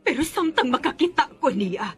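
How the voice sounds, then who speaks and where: A woman's voice: high voice, big pitch swings, normal speed, soft background music. A woman acting in a Bisaya radio drama as a cold, bitter middle-aged mother, stern and scolding. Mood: bitter, agitated. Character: cold, bitter mother who rejects her daughter.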